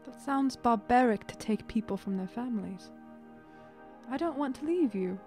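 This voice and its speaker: Woman playing defiant young daughter, higher voice. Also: animated, normal speed, dark tone, soft background music. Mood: sad, pleading. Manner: plaintive, defiant, blunt. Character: young demigod daughter, upset, stubborn and attached to family. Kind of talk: tabletop roleplay acting.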